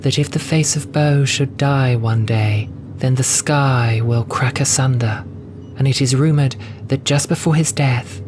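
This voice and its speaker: A man voicing a gentle young female cat nun in an audio drama, soft and wistful, with earnest storytelling. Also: medium pitch, measured pace, background noise, pitched above the performer's own voice, natural voice. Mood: hushed, wondrous. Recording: music bed under voice. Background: music.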